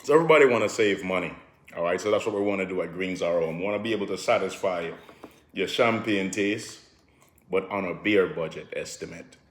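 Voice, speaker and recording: A middle-aged man with a Jamaican accent, pitching home improvement deals in a relaxed, chatty way, peppered with "all right". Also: a little fast, echoey room. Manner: relaxed, repetitive "all right" fillers, sips a drink while talking. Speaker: home improvement company promoter. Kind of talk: casual promotional talk to camera.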